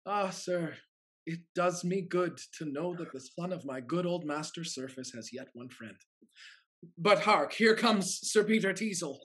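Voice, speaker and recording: A man acting a loyal, earnest family steward in a period stage comedy, measured and articulate. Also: on the high side, lively intonation, a bit bright. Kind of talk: theatrical period comedy acting over video call. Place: indoors, on a video call.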